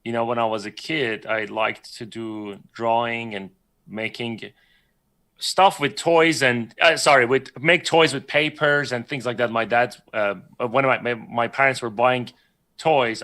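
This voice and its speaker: A man's voice: medium pitch, fairly even intonation, normal speed, a bit bright. A man from Iran, a guest on a livestream chat, telling his story in a rambling, self-correcting way and laughing often. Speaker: guest artist.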